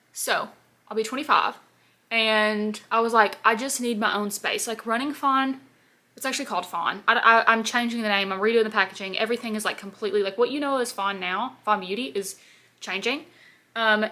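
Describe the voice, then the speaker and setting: A woman in her twenties, a beauty vlogger, chatting casually and sassily while doing her makeup at home. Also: fairly high pitch. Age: 20s. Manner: chatty, sassy, animated asides. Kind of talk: casual get-ready-with-me chat. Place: home, at her parents' house.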